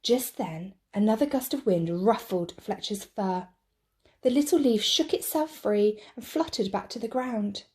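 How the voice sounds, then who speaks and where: A woman reading a picture book to children in an expressive, measured storytelling style. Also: medium pitch. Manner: measured, deliberate phrasing.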